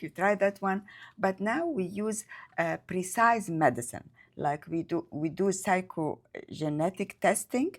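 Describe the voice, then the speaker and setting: Low-voiced woman psychiatrist. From Egypt. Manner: hesitant, many fillers, non-native grammar. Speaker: psychiatrist guest. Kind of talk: conversational interview answers.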